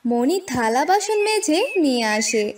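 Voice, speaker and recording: A woman's voice: high voice, sing-song, a little slow, far from the mic, background noise. A woman narrating a scripted fairy tale for children as a cartoon storyteller.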